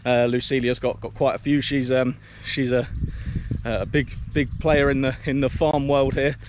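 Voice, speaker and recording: A man's voice: mid-range voice, little pitch movement, moderate pace, noisy background. A man vlogging from his farm, talking casually to the camera outdoors, often restarting and repeating words as he goes. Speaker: vlogger and smallholder.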